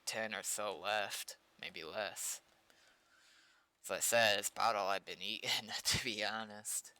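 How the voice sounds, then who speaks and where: Bright, crisp young man, casually rambling. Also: on the low side, dry recording. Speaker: streamer and game developer. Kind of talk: casual livestream chat.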